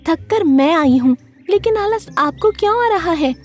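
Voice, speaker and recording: High, earnest mother bird voiced by a woman. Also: lively intonation, normal speed, darkish tone, soft background music. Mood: scolding. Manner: pleading, earnest, exclaiming. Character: worried, scolding female mother bird. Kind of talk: Hindi cartoon story character acting.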